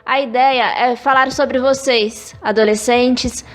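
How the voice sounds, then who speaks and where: A woman's voice: on the high side, fairly even intonation, slow pace. A woman of thirty with a Brazilian accent, reading scripted narration as a podcast co-host.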